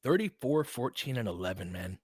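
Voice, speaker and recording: A man's voice: medium pitch, sing-song, fairly quick, slightly rough, no room sound. Casual male basketball play-by-play fan, dry sound. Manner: informal, partisan fan talk with mild swearing. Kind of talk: casual live basketball commentary.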